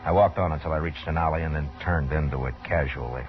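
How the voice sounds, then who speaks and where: An American man playing a hard-bitten, weary frontier marshal in a 1950s radio western, terse, commanding and deadpan. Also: very deep voice, fast. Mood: grim, reflective. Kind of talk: 1950s radio western acting and first-person narration. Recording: dull vintage radio recording, music behind.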